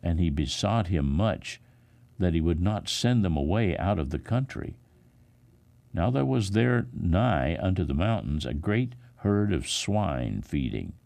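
A man, a pastor, reading the Bible aloud in a solemn, dramatic style with measured, expressive emphasis. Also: low voice, gravelly.

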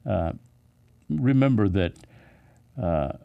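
Slow, deep-voiced man reading scripture. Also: animated, gravelly, no room sound, narrow, tinny audio. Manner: deliberate, solemn, phrase-by-phrase delivery. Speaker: pastor reading scripture aloud. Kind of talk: measured Bible reading with brief commentary.

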